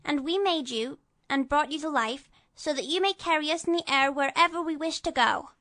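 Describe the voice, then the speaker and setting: Young boy, high and bright, brisk and plain-spoken. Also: exaggerated voice. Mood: matter-of-fact. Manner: brisk, matter-of-fact.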